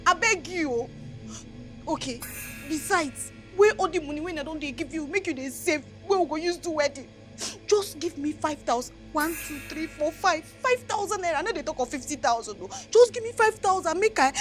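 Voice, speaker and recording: A woman playing a worn-down wife who pleads with her husband for money, speaking Nigerian Pidgin English in a hushed, insistent way, with music underneath. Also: very high voice, lively intonation, normal speed. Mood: pleading.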